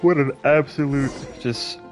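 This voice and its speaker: A man's voice: mid-range voice, steady tone, unhurried. A man reacts casually to a game in a mid-range voice. He is irreverent and sarcastic, swearing freely, with faint music behind him. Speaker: gaming commentator. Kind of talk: casual playthrough commentary.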